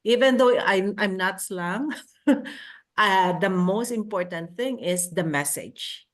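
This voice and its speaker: Slow-speaking woman with a Filipino accent. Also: medium pitch. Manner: earnest, halting, with restarts. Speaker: panel participant.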